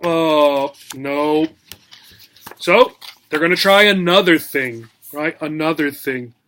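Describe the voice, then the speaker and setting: A man reads to young children as their teacher, taking his time over simple, short phrases. He prompts his listeners with questions in an animated, instructive way, at an unhurried pace. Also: fairly high pitch, slight background noise. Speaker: teacher reading a picture book to young learners. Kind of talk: children's read-aloud lesson, asking questions.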